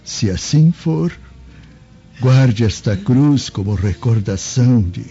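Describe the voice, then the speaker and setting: Slow, solemn elderly uncle. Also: male voice, medium pitch, animated, mellow, breathy edge, exaggerated voice. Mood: solemn, tender. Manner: slow, solemn, measured preaching delivery. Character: gentle, devout, steadfast elderly Samaritan uncle. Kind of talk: Brazilian radio drama acting. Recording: dull lo-fi audio, music behind.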